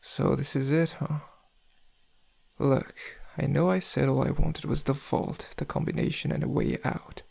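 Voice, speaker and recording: Smug, seductive male criminal played by a man for ASMR roleplay; husky, slightly higher-set voice, intimate drawl with menace underneath. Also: little pitch movement, a little slow, dark tone, full character voice. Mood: teasing, then threatening. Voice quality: gravelly, husky. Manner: intimate, teasing, drawling, often dropping to breathy murmurs. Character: suave, menacing male thief, flirtatious and smug.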